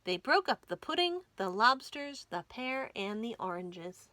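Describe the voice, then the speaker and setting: Lively, high-pitched woman reading a storybook. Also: measured pace, no room sound. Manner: dramatic, animated storytelling with whispers and emphasis. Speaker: library storytime reader. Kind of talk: expressive children's storybook reading.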